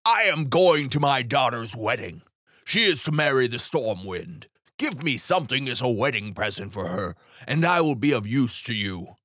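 A man voicing a vigorous gray-haired old man, a frost spirit in a storybook, speaking deliberately and emphatically. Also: mid-range voice, sing-song, a bit bright, dry recording. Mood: bargaining, firm.

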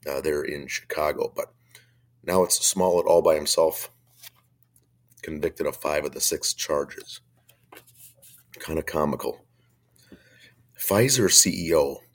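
A man hosting a faith-based talk radio show, hoarse from a chest cold, talking in a blunt, opinionated, conversational way. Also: a bass voice.

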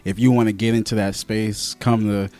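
A man hosting a casual interview, laid-back and halting, with many restarts. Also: on the low side, flat delivery, a little mellow. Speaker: podcast host.

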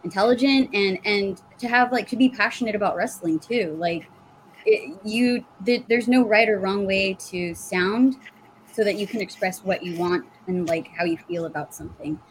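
A woman's voice: mid-range voice, moderate pace, slight background noise, low-quality recording. A woman with an American accent chatting casually on a podcast, often searching for her words. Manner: hesitant, searching for words, giggly. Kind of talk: casual panel chat.

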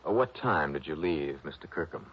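Low-voiced, wry radio detective man. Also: lively intonation. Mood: dry, probing. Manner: dry, measured, probing. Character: cool, wry male insurance investigator, narrating his case. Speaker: lead detective and narrator. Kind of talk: 1960s radio detective drama. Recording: noisy, muffled old radio recording.

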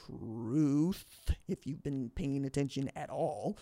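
A man's voice: mid-range voice, takes it slowly, creaky, mellow, no echo. A man hosting a podcast, reading a book aloud and adding his own commentary, often stumbling and correcting himself mid-sentence. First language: English. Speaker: podcast host.

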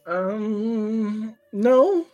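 Male game player, fairly high voice, casual in-character talk, dry sound, light music behind. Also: expressive, extremely slow, dark tone, no echo, dull audio.

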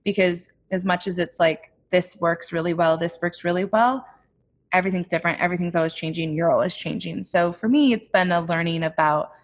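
A woman with a somewhat low voice talks about building her business in a relaxed, reflective way. Her speech is chatty and loose, with plenty of "like" fillers. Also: brisk, slight background noise. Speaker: entrepreneur guest. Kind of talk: casual interview talk.

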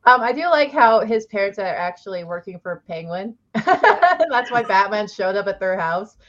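A woman at a middling pitch rambles through a casual book discussion, stacking fillers and breaking into laughter as she goes. The recording sounds slightly dull and muffled. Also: animated, normal speed, a bit bright. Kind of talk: casual book club chat.

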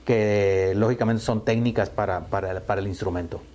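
A man's voice: lowish voice, fairly even intonation, normal speed, darkish tone. A Mexican man in his fifties, a musician answering interview questions in a hesitant way, full of fillers and repeated words. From Sonora, Mexico. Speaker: musician guest.

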